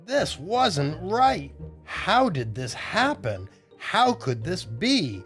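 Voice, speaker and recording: A man's voice: sits high, very animated, extremely slow, a bit bright, soft background music. An older man hosting a children's storytime show, telling jokes and reading aloud in a playful, theatrical way. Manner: playful, exaggerated, theatrical delivery. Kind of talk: animated kids' show hosting, joke telling and read-aloud.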